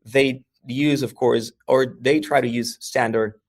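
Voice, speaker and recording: A man's voice: mid-range voice, fairly even intonation, measured pace. A man with a Mexican American accent, a language educator talking casually as an interview guest, often checking in with "right?" between thoughts. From New York, USA. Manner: frequent "right?" and "uh" fillers, restarts.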